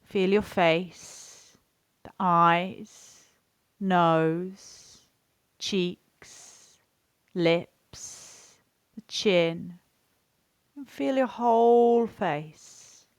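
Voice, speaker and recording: A woman teaching guided relaxation in a gentle, soothing, unhurried manner, leaving pauses between phrases. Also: lowish voice, clean tone, no room sound, studio quality. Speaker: yoga and meditation teacher. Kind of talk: guided relaxation and body scan instruction.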